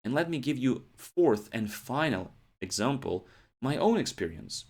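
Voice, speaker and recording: A man's voice: mid-range voice, sing-song, unhurried, darkish tone. A man, hosting a podcast English lesson and checking in with "yeah?" and "right?". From Ukraine. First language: Ukrainian. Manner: explains steadily, often adds "yeah?" and "right?" checks. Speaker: English teacher, podcast host.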